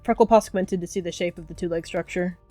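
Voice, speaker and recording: Low-voiced woman narrating a story. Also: steady tone, fast talker, mellow, faint music behind. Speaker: narrator and roleplayer.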